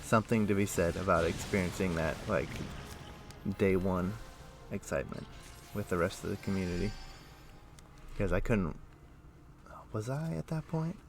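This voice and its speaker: A man livestreaming a game, chatting casually and thinking aloud in a rambling, halting way. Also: low-pitched. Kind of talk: casual chat while playing an online game. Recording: music playing behind. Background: music.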